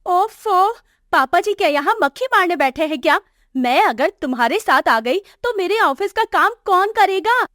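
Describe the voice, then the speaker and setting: Casting: arrogant young wife, acted by a woman, high voice, scornful, sharp-tongued storybook scolding, dry sound. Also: lively intonation, normal speed, no room sound. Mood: exasperated. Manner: scornful, complaining. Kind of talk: acted moral story dialogue for animation.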